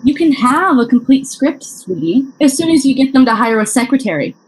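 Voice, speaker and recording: A woman's voice: high voice, sing-song, fairly quick, darkish tone, a little hiss or hum, poor audio. A woman playing a defensive scriptwriter who snaps back at her castmates. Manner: defensive, snippy. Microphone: video call.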